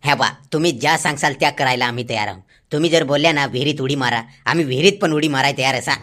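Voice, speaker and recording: A man's voice: medium pitch, very fast, sharp tone, a little hiss or hum, lightly acted. A man voicing a scheming, boastful young village lad in an amateur comedy cartoon, talking in a rustic, colloquial way. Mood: eager. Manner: rustic, colloquial, cocky with hesitant stalling.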